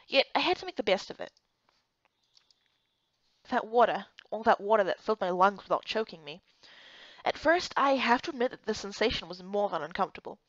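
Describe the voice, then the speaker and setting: A woman reading scripted first-person story narration in an expressive, dramatized manner. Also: medium pitch, fairly quick, pauses often, dry recording, lo-fi sound. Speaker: narrator and reader of a visual novel.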